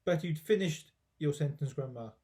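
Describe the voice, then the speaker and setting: Storybook boy, played by a man: young, eager, polite yet cheeky, lightly raised pitch. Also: animated, moderate pace, mellow, lightly acted. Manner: polite, earnest. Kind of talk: children's storybook character voice.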